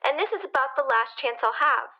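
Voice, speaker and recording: A woman's voice: sits high, animated, brisk, highs cut off. An actress playing a sweet, polite, girlish teenage girl on a telephone, in old-time radio comedy style. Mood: chirpy.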